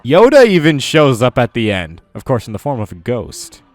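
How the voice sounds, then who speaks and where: A man's voice: medium pitch, wildly swooping pitch, moderate pace. A man hosting a scripted countdown video, commenting in a mock-earnest way with exaggerated praise. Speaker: video host. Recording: music under the voice. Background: music.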